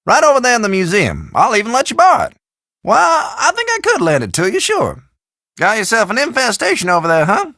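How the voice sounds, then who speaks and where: Game role: chatty old country shopkeeper, high creaky voice, dry recording, teasing and folksy. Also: male voice, wildly swooping pitch, a little fast, pushed and bright, no echo, exaggerated voice. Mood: folksy, helpful. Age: older. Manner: folksy drawl, colloquial, teasing. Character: folksy, chatty old small-town storekeeper, a man. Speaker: small-town general store keeper. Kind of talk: video game character dialogue.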